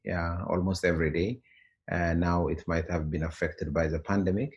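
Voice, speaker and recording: A man with an Ethiopian accent answering interview questions in an earnest, halting way with frequent pauses. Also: deep voice, little pitch movement, muffled recording. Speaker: interview guest. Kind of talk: interview conversation. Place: remote internet or phone call.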